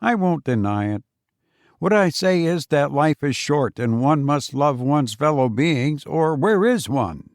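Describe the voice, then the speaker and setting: A man's voice: fairly high pitch, animated, measured pace, no echo, studio quality. A man voicing a smug, patronizing, chatty English writer with a gushing, falsely modest manner in an audiobook story. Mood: sentimental, chummy. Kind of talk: acted dialogue in a literary audiobook.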